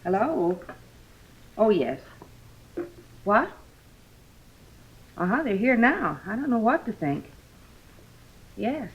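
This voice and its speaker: An actress playing a worried, guilt-ridden sister in a 1950s radio drama, hesitant and apologetic, on a muffled old recording. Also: female voice, medium pitch, big pitch swings, slow pace, long pauses, slight background noise. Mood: anxious.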